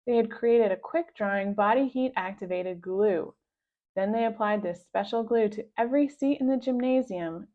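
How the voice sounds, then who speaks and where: A woman reading a children's story aloud in an expressive storytelling style. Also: mid-range voice, lo-fi sound. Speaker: storybook reader.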